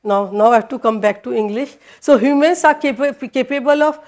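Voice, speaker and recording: A high-pitched woman whose first language is Hindi lectures to a university class. She speaks haltingly, full of fillers and restarts, and is recorded from across a lecture room by a far camera. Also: steady tone, a little mellow. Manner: hesitant, many fillers and restarts, switches into Danish.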